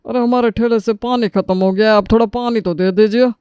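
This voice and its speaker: Casting: male actor as chatty cartoon street vendor, notably high and clear, polite and thankful. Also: steady tone, brisk, darkish tone, no echo, clean studio sound, natural voice. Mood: pleading. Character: friendly, grateful male street-food vendor. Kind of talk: Hindi cartoon story character voice.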